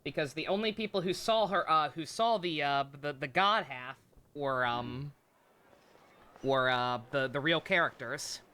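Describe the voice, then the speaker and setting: A woman's voice: low voice, unhurried, pushed and bright. A woman streaming a game with casual live commentary, blunt and slangy, swearing freely.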